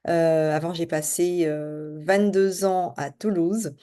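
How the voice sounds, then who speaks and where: Middle-aged woman coach, lowish warm voice, quick casual delivery full of fillers. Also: steady tone. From French Guiana. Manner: fast-talking, hesitant with many fillers. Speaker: spiritual and business coach. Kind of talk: casual self-introduction to camera.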